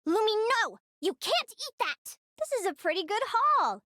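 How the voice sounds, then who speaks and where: A woman voicing a curious young female explorer in a video game, speaking in an earnest, expressive, youthful way. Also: very high voice, normal speed, no room sound, clean studio sound, exaggerated voice. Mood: excited, battle-ready. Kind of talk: English video game character voicelines.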